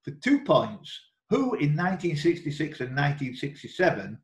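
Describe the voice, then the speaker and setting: A man's voice: fairly high pitch, sing-song, normal speed. Echoey male quiz host. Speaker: quiz host and question reader. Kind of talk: live quiz hosting, reading questions aloud. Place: indoor room, online video group call. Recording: roomy, echoing online call audio.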